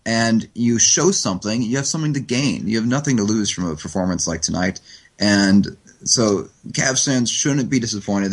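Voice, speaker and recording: A man's voice: lowish voice, slightly rough. A man guesting on a sports podcast over the line, making earnest, analytical points with plenty of fillers. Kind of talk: casual sports chat. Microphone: phone line.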